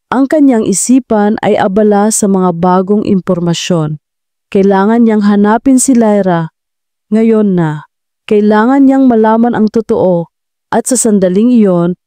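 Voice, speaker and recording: A woman's voice: mid-range voice, dark tone, dry recording, studio quality. A woman narrating a scripted romance drama as a storyteller, in a dramatic style.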